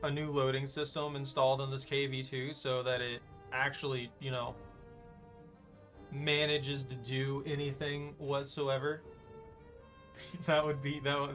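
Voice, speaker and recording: Bright-voiced playful gaming streamer man. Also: mid-range voice, flat delivery, moderate pace, soft background music. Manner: playful, teasing, loud exclamations. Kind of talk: live gameplay commentary.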